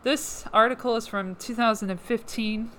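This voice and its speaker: A woman's voice: a little high, fairly even intonation, moderate pace, fairly bright tone, slight background noise. A woman hosting a podcast, reading news articles aloud and adding sarcastic asides, her voice worn down and fading. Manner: sarcastic asides between read passages. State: losing her voice. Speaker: podcast host.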